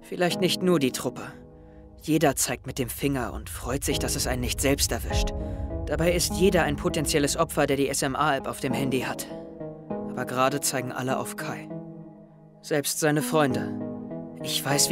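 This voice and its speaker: A man's voice: medium pitch, moderate pace, over music, close to an everyday voice. A young man playing an introspective, wry teenage schoolboy who narrates his thoughts in an audio drama. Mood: brooding. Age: young adult. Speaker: actor narrating as the main character. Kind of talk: audio drama inner monologue.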